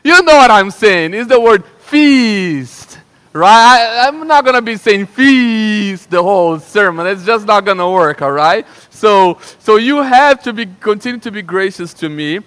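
A young man from Brazil with a Brazilian Portuguese accent, preaching a sermon in a lively, conversational way and often checking in with "right?". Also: high voice, measured pace, clear, resonant tone. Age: young adult. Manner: animated and conversational, with frequent restarts and "right?" checks. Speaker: pastor.

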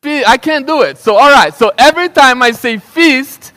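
A young man from Brazil with a Brazilian Portuguese accent, preaching a sermon in a lively, conversational way and often checking in with "right?". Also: extremely high pitch, moderate pace. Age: young adult. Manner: animated and conversational, with frequent restarts and "right?" checks. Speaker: pastor.

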